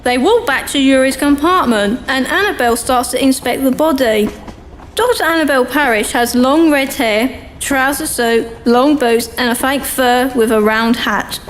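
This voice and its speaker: High-pitched woman narrating a radio play. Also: moderate pace. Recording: faint background noise.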